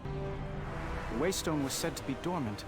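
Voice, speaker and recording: A man's voice: a little high, animated, a little slow, loud music behind the voice. A man playing a noble young champion of the Light in a fantasy game cutscene, solemn and heartfelt. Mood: earnest.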